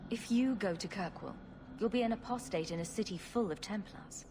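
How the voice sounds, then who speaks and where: An actress playing a wry, sarcastic human adventurer in a video game, with a dry, self-assured way of talking. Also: female voice, mid-range voice, normal speed, warm tone, slight background noise, close to an everyday voice. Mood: frank.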